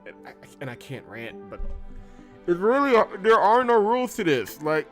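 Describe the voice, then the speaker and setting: A man's voice: high voice, huge pitch swings, moderate pace, faint music behind. A man doing sarcastic, exasperated commentary while reading a visual novel aloud. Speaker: let's play host.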